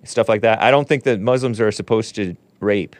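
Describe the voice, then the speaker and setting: A man's voice: on the low side, normal speed, dry recording. A man hosting a talk show, chatting casually, laughing easily and trailing off into fillers. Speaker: show host.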